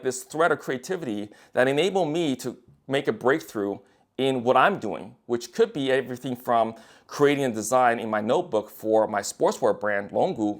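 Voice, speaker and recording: A young man speaks in a loose, unscripted monologue, blunt and peppered with profanity. He circles his points with restarts and fillers, in an ordinary mid-range voice. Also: mid-range voice. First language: English. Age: young adult. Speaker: writer and designer. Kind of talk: casual monologue to camera.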